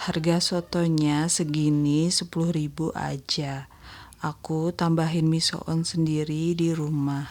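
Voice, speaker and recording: A woman with a congested, nasal voice, gently narrating a casual voice-over vlog about her day to her viewers. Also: low-pitched, level tone, unhurried. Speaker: homemaker vlogger narrating own daily routine. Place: voice-over recorded separately at home.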